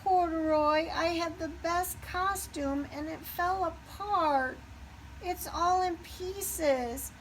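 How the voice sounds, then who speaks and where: A woman voicing a gentle, earnest young puppy from a storybook in a slow, drawn-out, childlike way. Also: very high voice, a little hiss or hum, voice pushed up, low-quality recording. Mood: sad, pleading.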